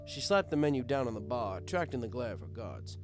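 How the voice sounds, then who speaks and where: A man narrating a comic first-person story in a wry, expressive, conversational storyteller's way. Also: medium pitch, fairly quick, over music. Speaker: audiobook narrator.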